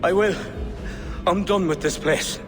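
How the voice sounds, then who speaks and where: A man's voice: sits high, measured pace. An actor playing a frightened male prisoner, who pleads in panic. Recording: game audio with long reverb and music.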